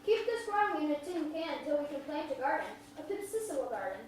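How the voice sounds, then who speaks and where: An earnest, neighborly young boy from the country, acted by a woman in a play reading. The voice is high and plain-spoken. It sounds distant in a heavily echoing space with faint noise. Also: very animated, fast talker, a little mellow. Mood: playful. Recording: very echoey hall, distant mic, faint noise.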